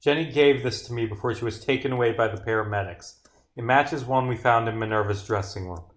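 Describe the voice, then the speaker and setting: Visual novel detective, male reader: mid pitch, dogged, plainly accusing, matter-of-fact. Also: a little fast, natural voice. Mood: firm, accusing.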